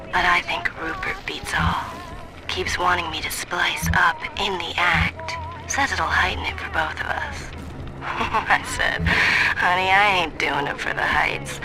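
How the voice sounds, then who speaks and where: Jaded, slangy working girl, wry game monologue, clear music bed. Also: on the low side, rough recording. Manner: slangy, folksy. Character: brassy, worldly streetwalker, jaded and wisecracking. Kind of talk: video game audio diary monologue.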